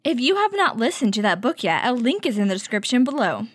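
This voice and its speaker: A woman hosting a children's story time, reading a picture book aloud and teaching with clear, instructive delivery. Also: fairly high pitch, very animated, fast, no echo, clean studio sound. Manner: clear, instructive, addressing young children with questions.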